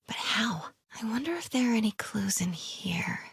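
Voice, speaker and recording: Casting: a young female investigative reporter for a game, plucky and nosy, very breathy voice, very dry sound. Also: on the high side, very animated, moderate pace, no echo, heavily acted. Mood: hushed, focused. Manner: hushed muttering to herself. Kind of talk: video game voice acting.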